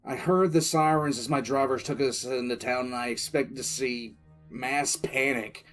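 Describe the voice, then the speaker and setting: A man's voice: mid-range voice, a bit bright, natural voice. Man acting a battle-weary commissar recounting war, over music. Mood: troubled, reflective. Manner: confiding, dramatic storytelling with pauses and sighs. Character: stern, battle-weary military commissar recounting an invasion crisis. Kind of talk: first-person audio drama narration. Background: music bed.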